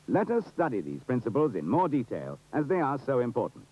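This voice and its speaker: A man's voice: medium pitch, wildly swooping pitch, brisk, breathy edge. A British man giving formal, precise, authoritative scripted narration for an instructional film. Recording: dull, muffled 1970s film soundtrack.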